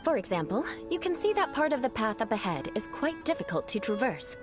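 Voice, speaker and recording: Poised, lively aristocratic young knight. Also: female voice, fairly high pitch, brisk, over music. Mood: haughty, instructive. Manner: formal, refined, instructive. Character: poised young aristocratic female knight, haughty, refined, teasing. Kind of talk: anime-style game voice acting.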